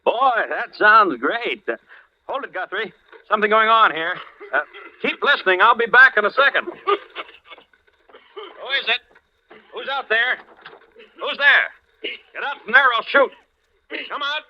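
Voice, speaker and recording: A jumpy lab researcher on ham radio in a 1940s thriller. The voice is high, boyish and slangy. It comes through a muffled old recording with clear background noise. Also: male voice, expressive, measured pace, long pauses. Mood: startled, alarmed.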